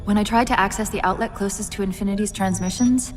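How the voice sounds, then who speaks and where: Actress as female game AI companion, quick-witted, wry, articulate, expressive, loud score behind. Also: mid-range voice, big-room sound, soft background music, close to an everyday voice. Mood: wry, focused. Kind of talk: video game cutscene acting.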